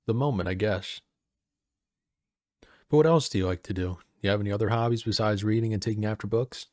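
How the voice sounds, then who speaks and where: A man playing a friendly, easygoing personal assistant at a manor in an audio roleplay, soft-spoken, casual and a little hesitant. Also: medium pitch, no room sound, clean studio sound. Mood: wistful, chatty, then friendly, self-deprecating.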